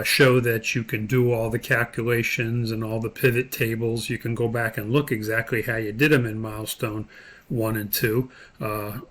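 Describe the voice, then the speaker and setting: Male course instructor, medium pitch, unscripted screen-share walkthrough, informal explanatory delivery with frequent pauses. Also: fairly bright tone. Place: indoors, voice-over for screen recording.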